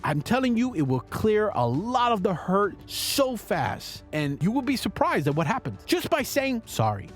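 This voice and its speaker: A middle-aged man hosting a show, speaking straight to listeners in an earnest, preachy, emphatic motivational monologue. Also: high-pitched. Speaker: show host and father.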